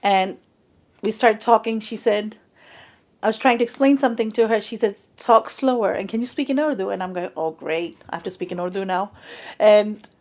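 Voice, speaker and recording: Woman, chatty, animated storyteller, mid pitch, relaxed conversation. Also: normal speed. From England. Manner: chatty, animated storyteller who acts out quoted speech. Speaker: guest.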